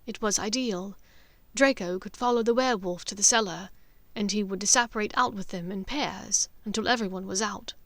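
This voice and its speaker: A woman narrating a fiction audiobook with dramatic, deliberate storytelling. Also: medium pitch, fairly bright tone, no echo.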